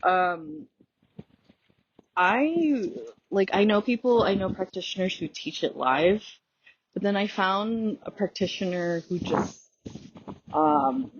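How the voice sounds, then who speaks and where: A woman whose first language is Tagalog hosts her own podcast while out on a walk, and she talks in a loose, rambling way full of fillers. Her voice is husky and unhurried. The recording sounds dull and lo-fi. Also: medium pitch, expressive. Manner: rambling, chatty, many fillers and restarts. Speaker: podcast host. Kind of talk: casual solo podcast talk. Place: outdoors on a walk.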